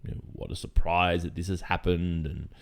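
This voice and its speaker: An Australian man playing a sweetly possessive, delusional kidnapper boyfriend in an intimate ASMR roleplay, speaking haltingly with hesitant murmurs. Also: deep voice, fairly even intonation, gravelly. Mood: besotted. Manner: halting, drawn-out phrasing with hesitant murmurs and nervous chuckles. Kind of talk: ASMR roleplay, intimate monologue addressed to listener.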